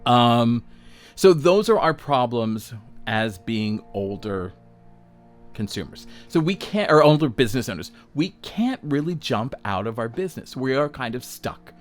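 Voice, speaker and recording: A man's voice: mid-range voice, animated, measured pace, faint music behind. A man in his sixties talking casually and bluntly, swearing freely, mocking himself and checking in with the listener. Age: 60s. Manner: blunt, profane, self-mocking, lots of "um" and "all right?". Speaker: podcast host, self-employed designer. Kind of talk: unscripted solo talk.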